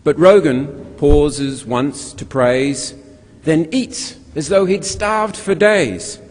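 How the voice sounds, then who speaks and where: A man recites poetry in a measured, storytelling way to a live event in a large hall. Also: a little high. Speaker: guest reader at a carols concert. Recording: echoey large hall with long reverb.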